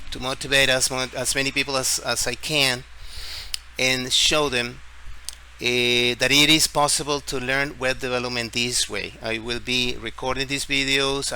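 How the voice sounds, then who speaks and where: A man from Ecuador with an Ecuadorian Spanish accent hosts an informal screen-share explainer in halting, deliberate phrases, often repeating words. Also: medium pitch, pushed and bright, rough recording. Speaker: tutorial video host. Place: at home, street celebration outside. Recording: faint background noise.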